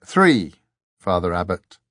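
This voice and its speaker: Man voicing a slow, formal young monk gatekeeper. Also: low voice, very animated, fairly bright tone, dry recording, studio quality, full character voice. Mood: deferential. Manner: formal, incantatory recitation.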